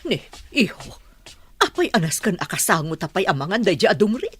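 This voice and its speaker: An actress playing a nosy, suspicious middle-aged mother with a husky voice in an Ilocano radio drama. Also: medium pitch, very animated, a little fast, no room sound. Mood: sceptical.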